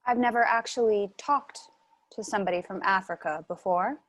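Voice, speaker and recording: An actress playing a curious, naive young daughter of an emperor, innocent and inquisitive, at a table read. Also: female voice, medium pitch, moderate pace, a little hiss or hum, natural voice. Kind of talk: film script table read.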